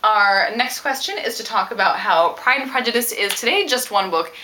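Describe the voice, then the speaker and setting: Bright-voiced woman discussing books. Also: fairly high pitch, animated, normal speed, low-quality recording. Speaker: book vlogger. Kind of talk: casual book discussion to camera.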